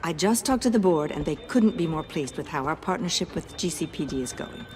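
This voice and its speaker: A polished businesswoman in a video game. Her voice sits fairly low, brisk and inquisitive, with faint noise in the background. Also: lively intonation, darkish tone. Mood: businesslike, curious. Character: polished, prying female company chairperson.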